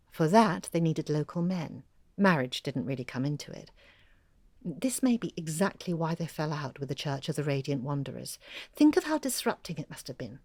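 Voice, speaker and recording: A woman's voice: fairly low pitch, a little mellow, dry recording, studio quality. An actress playing a woman who reads out her own long email as a confiding storyteller in an audio drama. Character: Hilary, a woman letter-writer recounting local history. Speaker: letter writer.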